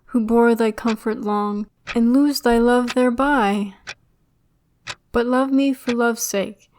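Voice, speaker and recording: A woman reads romantic verse as a dramatic recitation. Her voice is on the high side and her delivery is very slow. A faint noise sits under the recording. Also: darkish tone. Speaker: poetry reader. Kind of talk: slow dramatic reading of classic love poetry.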